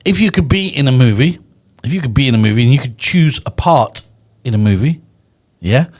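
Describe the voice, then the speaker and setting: A man's voice: mid-range voice, expressive, brisk, darkish tone. Male podcast host, playful, repetitive, studio chat. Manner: playful, chuckling, repeats himself. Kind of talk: casual podcast interview.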